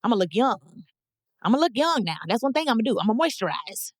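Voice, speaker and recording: A woman in her thirties from Dallas, hosting her own podcast in a playful, animated solo chat with her listeners. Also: on the high side, brisk, no room sound, studio quality. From Dallas, Texas. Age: 30s. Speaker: podcast host and comedian.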